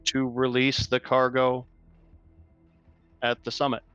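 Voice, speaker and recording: Guilt-torn synthetic acted by a man, very slow and hesitant, tabletop game, muffled recording. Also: mid-range voice, completely flat delivery, a bit bright, clear, resonant tone, soft background music. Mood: conflicted, subdued. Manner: halting, subdued. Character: conflicted synthetic android, quietly defending his decision. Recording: dull, lo-fi voice channel.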